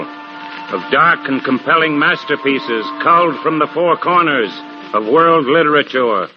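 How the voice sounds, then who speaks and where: A male announcer with a medium-pitched voice delivers formal, dramatic forties radio introductions. Music plays behind him, and the recording is muffled and old. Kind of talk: 1940s radio program introduction.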